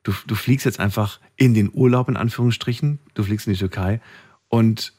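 A man hosting a late-night radio call-in show in German, chatty and given to laughing with his callers. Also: normal speed. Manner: chatty, laughs often, lively pitch swings. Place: radio studio.

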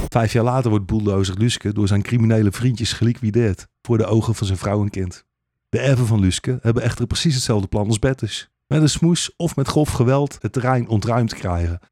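Deep-voiced male storyteller, ironic and theatrical. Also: mellow. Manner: theatrical, ironic, mock-naive as if telling children. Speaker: narrator of a radio play. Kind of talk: satirical fairy-tale storytelling, scripted narration. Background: music.

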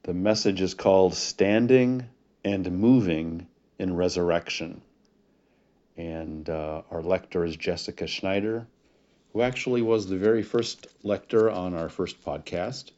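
A man speaking as a pastor in prayer and sermon introduction, with solemn, deliberate phrasing. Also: sits low, warm tone. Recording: dull, muffled lo-fi recording.

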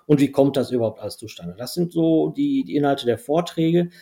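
A man's voice: mid-range voice, lively intonation, brisk, gravelly, dark tone. A man whose first language is Dutch, a podcast guest talking casually and rambling with many fillers. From Belgium. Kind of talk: casual conversation.

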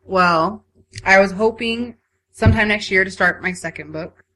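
Bright-voiced woman chatting on a podcast. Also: medium pitch, moderate pace, a little hiss or hum, poor audio. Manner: teasing, laughs easily. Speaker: guest, writer. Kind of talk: casual chat.

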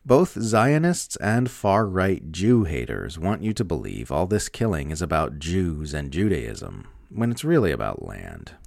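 A man with a very low, gravelly voice reads political commentary aloud. His delivery is emphatic and sardonic, moving widely in pitch, and the recording is close and dry. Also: no room sound. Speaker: narrator reading political commentary. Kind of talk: scripted opinion essay read aloud.

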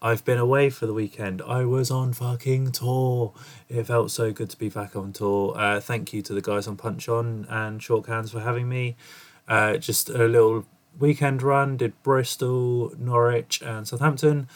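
A young man talks into a podcast mic, his voice stuffy and nasal from a cold. He rambles casually, swearing in passing, at a mid pitch. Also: flat delivery, measured pace. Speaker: podcast host. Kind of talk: casual podcast intro monologue.

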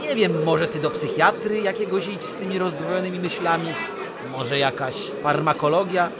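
Man playing a blunt young pal, rather high voice, sarcastic colloquial advice, radio comedy, urban crowd noise behind. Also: moderate pace, loud music behind the voice, lightly acted. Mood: impatient, exhorting. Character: blunt, impatient young male friend giving advice. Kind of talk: radio comedy drama acting.

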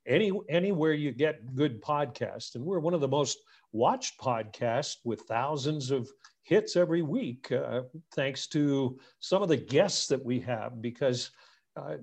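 A man's voice: mid-range voice, darkish tone, husky. A man hosting a podcast, talking in a friendly, conversational way and often repeating words as he goes. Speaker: podcast host. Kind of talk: casual interview chat.

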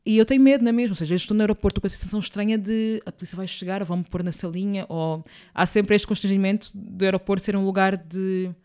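A young woman from Angola, speaking as a guest in a relaxed, reflective interview. Her voice is smooth and clear, sitting at a middle pitch. She often restarts her sentences and tags them with small questions as she thinks aloud. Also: quick pace, few pauses. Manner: thoughtful, often restarts and adds tag questions.

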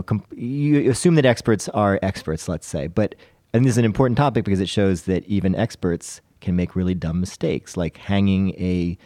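A man hosting a casual discussion podcast, speaking in a measured way and often softening his points with qualifiers. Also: low-pitched, no echo, clean studio sound. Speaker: podcast host.

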